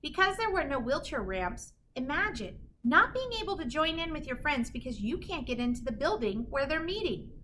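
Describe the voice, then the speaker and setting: A woman with a high-pitched, bright voice reads a children's story aloud. Her delivery is expressive and deliberate, stressing key words for young listeners. Faint noise sits behind her voice. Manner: animated, emphatic, deliberate storytelling phrasing. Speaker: children's story reader, teacher. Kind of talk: expressive read-aloud of a children's picture book.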